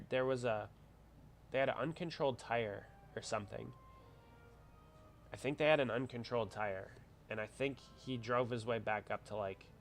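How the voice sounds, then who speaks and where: A man hosting a casual livestream, congested from a cold, rambling as he chats with viewers. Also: medium pitch. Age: adult with a wife. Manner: chatty, rambling, reads out viewer comments. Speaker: livestream host.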